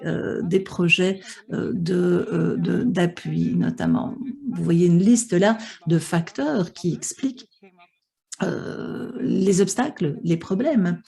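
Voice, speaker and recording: A woman's voice: lowish voice, creaky, dark tone, breathy edge. A woman interpreting a conference panel live, with many hesitations and restarts. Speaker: simultaneous interpreter for an online panel.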